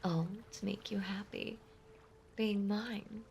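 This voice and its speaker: A woman playing a possessive, lovesick mermaid monster girl in an intimate audio roleplay, breathy and often whispering, with a doting, clingy delivery. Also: medium pitch, steady tone, takes it slowly, a little mellow, slight background noise. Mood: lovesick, giddy. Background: ocean ambience.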